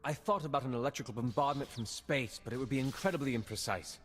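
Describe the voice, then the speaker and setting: An actor playing an earnest, troubled intelligence agent in a science fiction game cutscene. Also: male voice, medium pitch, fast, natural voice. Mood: urgent. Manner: earnest, serious. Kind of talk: video game cutscene acting. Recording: long reverb, music underneath.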